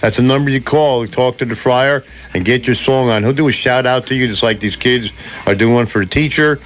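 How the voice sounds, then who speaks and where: A man's voice: mid-range voice, fairly quick, fairly bright tone, slight background noise, poor audio. A male radio host in a small studio, upbeat and joking, coaxing kids to speak on air. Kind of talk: lively on-air chat with kids.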